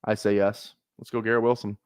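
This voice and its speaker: A man's voice: fairly low pitch, level tone, brisk, a little mellow, clean tone, no echo, studio quality. A man in his twenties chatting casually on a fantasy football livestream, rambling, swearing often and laughing at himself. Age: 20s. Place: small apartment room.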